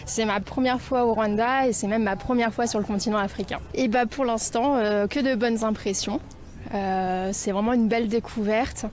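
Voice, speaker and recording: A woman's voice: on the high side, steady tone, moderate pace, a little mellow, slight background noise. A woman answering spontaneously in an interview as a volunteer educator, hesitating often with fillers. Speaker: volunteer French-teaching expert, interviewee.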